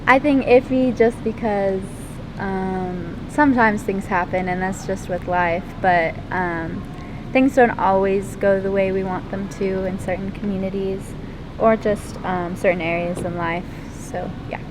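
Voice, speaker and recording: A teenage girl answering interview questions casually, pausing often with ums and likes. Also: steady tone. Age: teens. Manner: hesitant, many ums and likes. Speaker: interviewee, lifeguard and swimmer. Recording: loud rumbling background noise.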